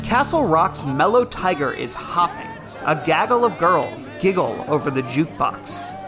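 A man's voice: a little high, big pitch swings, unhurried. A man narrating a scripted drama, reading out dramatic scene descriptions in the present tense. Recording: music bed behind narration.

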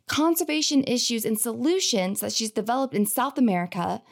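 A woman's voice: on the high side, a little mellow. A woman hosting a podcast, reading an upbeat intro and chatting with a guest in a bubbly, enthusiastic way. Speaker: podcast host.

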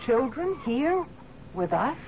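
Uneasy housekeeper, very animated pitch. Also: female voice, takes it slowly, exaggerated voice. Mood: dismayed. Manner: questioning, uneasy. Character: anxious, servile housekeeper to a sea captain. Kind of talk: old-time radio drama acting. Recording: muffled old radio broadcast, clear noise.

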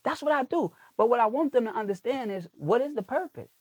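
Guy w a high voice preaching about content, repeats himself, dry close mic. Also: expressive, brisk, a little mellow, husky, no echo. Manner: emphatic, preachy, repeats phrases. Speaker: guest, content coach. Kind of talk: motivational podcast interview.

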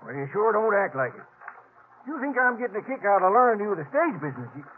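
Bossy, high-voiced Old West station keeper. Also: male voice, big pitch swings, fast. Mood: nagging. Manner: folksy, nagging, rural Western speech. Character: gruff, bossy veteran stagecoach station keeper. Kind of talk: 1950s radio drama acting. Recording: noisy, tinny vintage radio recording.

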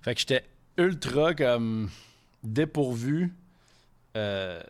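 A man from Quebec City with a Quebec French accent rambles through a casual podcast chat. His voice sits at an ordinary middle pitch. He mixes English into his French and swears often as he talks. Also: lively intonation, takes it slowly. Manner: rambling franglais with frequent swearing. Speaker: guest chef.

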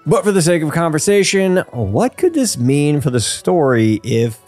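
A man hosts a casual podcast with a lively, swooping delivery. He sounds playful and jokey, talking loosely about game lore, with faint music underneath. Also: a little high, a little slow. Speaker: podcast host.

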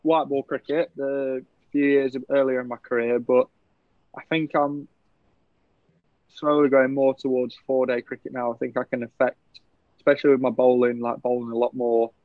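A man's voice: medium pitch, flat delivery, moderate pace, dry recording. A young man, a professional cricketer, chatting casually and laughing often in a podcast interview. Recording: dull, lo-fi, dry sound.

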